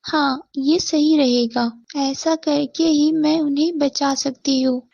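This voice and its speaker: Devoted wife and mother, voiced by a woman, moral-story cartoon, high voice, creaky, pleading, slightly dull sound. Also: steady tone, slow pace, a little mellow, dry recording, full character voice. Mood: resolute, reassuring. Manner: pleading, earnest. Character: devoted, determined poor young wife and mother. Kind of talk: animated moral-story voice acting.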